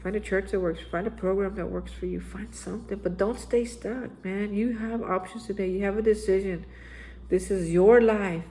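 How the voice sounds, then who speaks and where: A man's voice: sits high, steady tone, moderate pace, warm tone, a little hiss or hum, low-quality recording. Earnest male vlogger with a plain speaking voice; exhorting, repetitive spiritual reflection. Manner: earnest, exhorting, repeats phrases and says "man". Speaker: recovery vlogger. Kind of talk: daily spiritual reflection monologue. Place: Austin, Texas area.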